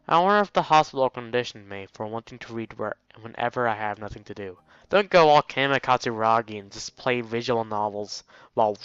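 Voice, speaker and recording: A man's voice: medium pitch, a bit bright, clear, resonant tone, no echo, lo-fi sound. A man hosting a let's play, reading a visual novel aloud and breaking off for wry, mocking commentary. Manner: wry, mocking asides to the game.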